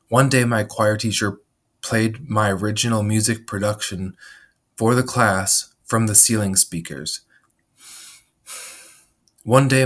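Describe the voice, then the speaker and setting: Man, 30s, from Minnesota; slightly low voice, measured memoir read, occasional throat clearing. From Minnesota, USA. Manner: measured, steady reading with frequent throat clearing. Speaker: memoir narrator. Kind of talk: reading a personal memoir aloud.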